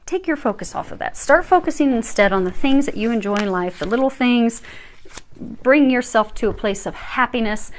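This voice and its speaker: A woman with a medium-pitched voice reads tarot cards in an informal way. She gives spiritual advice in a reassuring, encouraging manner. Her delivery is halting, and she often repeats phrases as she goes. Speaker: tarot reader.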